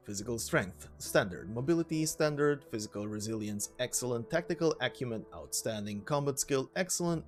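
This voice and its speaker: Casual male gaming narrator over music. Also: medium pitch. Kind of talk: casual commentary, reading game lore aloud. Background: background music.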